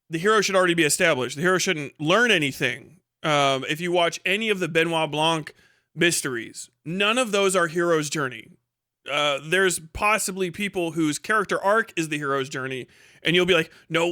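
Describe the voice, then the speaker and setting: A man giving casual, off-the-cuff livestream commentary in a halting, explanatory way, with some swearing. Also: a little high, bright, forceful tone, dry recording, studio quality. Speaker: live streamer reacting to a video.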